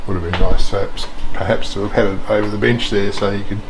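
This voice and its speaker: A man's voice: on the low side, fairly even intonation, fast. A man thinking aloud in an unscripted, hesitant walk-around commentary, musing as he shows things around him. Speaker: vlogger showing repairs and farm work. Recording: noisy ambient hum, handheld walk-around recording.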